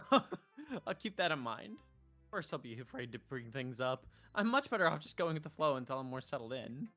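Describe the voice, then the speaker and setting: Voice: medium pitch, sing-song, moderate pace, fairly bright tone. A streamer of androgynous voice playing a visual novel, casually bantering with wisecracks and swearing between lines read aloud. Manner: wisecracking, profane. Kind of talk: casual gameplay banter mixed with reading dialogue aloud. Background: game music.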